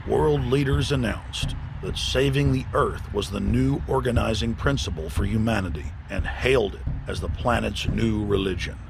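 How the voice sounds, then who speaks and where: A gravelly man narrates a hard-hitting scripted documentary. His voice sits low and sounds accusatory and insistent. There is clear noise behind the voice-over. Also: moderate pace, few pauses.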